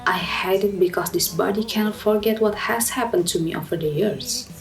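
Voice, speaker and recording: A woman's voice: mid-range voice, creaky, faint music behind, natural voice. A woman playing a melancholic young woman haunted by her past, speaking a brooding, dramatic radio drama monologue. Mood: sorrowful, bitter. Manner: slow, brooding, dramatic delivery.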